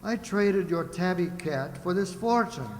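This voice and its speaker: Male actor for hearty fatherly merchant captain, middle-aged, unhurried and fairly high-voiced, stagey radio drama. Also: animated, a little mellow. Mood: hearty, proud. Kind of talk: stage-like radio play acting. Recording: echoey hall, faint noise.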